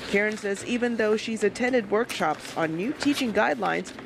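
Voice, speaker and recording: A woman reporter reading scripted news narration in a measured, even delivery. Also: medium pitch, creaky, noisy background.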